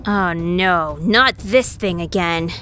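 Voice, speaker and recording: A woman playing a brisk, bossy guild tactician in a fantasy audio drama, exasperated and commanding. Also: on the low side, heavy background noise.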